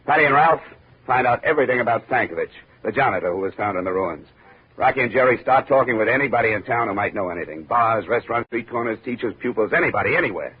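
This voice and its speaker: An American actor playing a brisk, hard-boiled male investigator in 1950s radio drama style, clipped and wry. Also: medium pitch, expressive, husky. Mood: brisk, commanding. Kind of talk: 1950s radio detective acting, first-person narration. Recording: muffled old radio recording, faint music.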